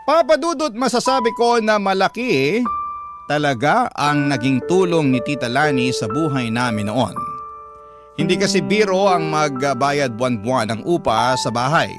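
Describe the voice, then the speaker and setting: A man's voice: a little high, lively intonation, moderate pace. A man narrating a scripted first-person life story as a radio drama storyteller. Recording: loud guitar music under voice. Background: plucked guitar music.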